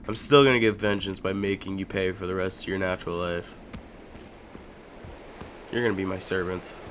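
A young man with a lowish voice commentates a video game in a loose, chatty way, joking as he goes and bursting out loudly now and then. A faint hiss sits under the recording. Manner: joking, chatty, with sudden loud outbursts. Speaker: gaming YouTuber. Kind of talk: casual let's-play commentary.

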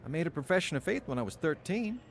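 Casting: earnest born-again ex-convict, highish voice, very expressive radio drama delivery. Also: male voice, quick pace, slight background noise. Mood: searching, reflective. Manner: earnest, emotional. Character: earnest, wavering male ex-convict, newly religious. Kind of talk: audio drama acting and first-person narration.

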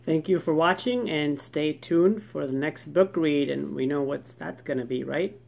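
Creaky-voiced man hosting a casual vlog. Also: fairly high pitch, a little slow, a little mellow. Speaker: host of a children's book-reading channel.

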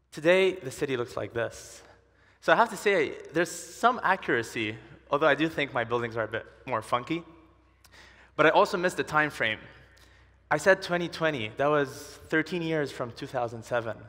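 A man's voice: medium pitch, a bit bright. A man in his twenties from Dubai giving a prepared talk on stage as a conference speaker, wearing a headset mic. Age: 20s. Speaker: conference speaker, entrepreneur and urban designer. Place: large conference hall stage, indoors. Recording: large hall reverb from the stage.

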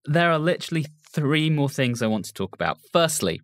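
A man's voice: on the high side, big pitch swings, fairly quick, no room sound, clean studio sound. A man hosting a podcast, discussing an audio drama. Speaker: podcast host.

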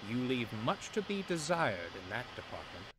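A man voicing a calm, wise older male steam engine who speaks quietly and dryly. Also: mid-range voice, noisy background, lightly acted. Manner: quiet, dry, measured.

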